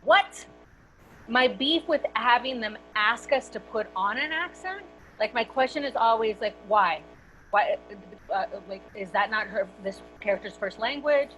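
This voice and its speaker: An actress on a panel, speaking frankly and with animation. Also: female voice, a little high, expressive, unhurried, a bit bright, faint music behind, low-quality recording. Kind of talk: casual panel discussion.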